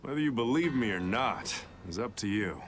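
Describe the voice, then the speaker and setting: Brash, low-voiced roguish fighter. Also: male voice, expressive, moderate pace, a bit bright, slight background noise. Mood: reflective, gritty. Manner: cocky, rough slangy talk. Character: brash, hot-blooded roguish male fighter with his own creed. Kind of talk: English video game dub acting. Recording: music under the voice.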